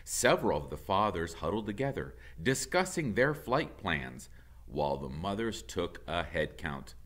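A middle-aged man with an American accent reads a children's story. His delivery is slow and very lively in pitch, with a bright, clear edge. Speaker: teacher reading aloud. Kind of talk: expressive children's storybook narration. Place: classroom with whiteboard, indoors.

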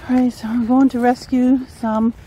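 A woman's voice: on the high side, level tone, moderate pace, warm tone, husky, low-quality recording. A woman talking casually and off the cuff, as a vlogger filming an animal rescue on a phone. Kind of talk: casual unscripted vlog narration. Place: outdoors on grass, later indoors and in a car. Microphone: handheld phone.

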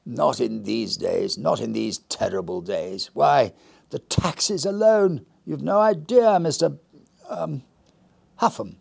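A man doing a husky, fussy old lady. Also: mid-range voice, animated, takes it slowly, darkish tone, pitched above the performer's own voice, full character voice. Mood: fretful. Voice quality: husky little voice. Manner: faint, hesitant, genteel. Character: large fussy older lady, vague and husky.

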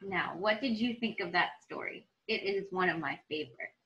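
A woman in her thirties, a teacher, reads aloud to children in an expressive, deliberate storybook style, recorded at home on a nearby device. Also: fairly low pitch, muffled recording, slight background noise. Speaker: teacher reading aloud to pupils. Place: small room at home.

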